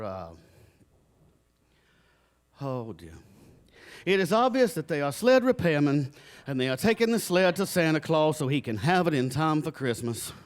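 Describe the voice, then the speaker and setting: Man playing a pompous, flustered father, swooping intonation. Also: fairly high pitch. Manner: formal, pompous, hesitant. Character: flustered, dignified father improvising answers for his children. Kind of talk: live stage audio drama. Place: recreation center stage, live performance.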